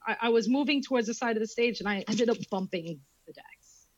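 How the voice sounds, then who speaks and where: A woman's voice: fairly high pitch, a little fast, highs cut off. A woman from England, a guest chatting casually on a podcast, telling stories in a chatty way and swearing freely. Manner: chatty storyteller who acts out quoted voices, swears freely.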